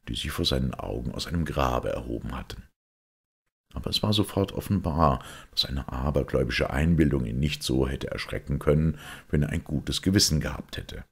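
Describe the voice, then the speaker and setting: Very deep, gravelly male narrator. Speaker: audiobook narrator. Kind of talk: scripted literary narration.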